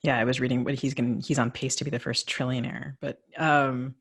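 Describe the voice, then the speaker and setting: Man interviewing, medium-pitched voice. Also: level tone, a little fast, creaky, a bit bright, breathy edge. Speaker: podcast co-host, interviewer. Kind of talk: casual literary interview.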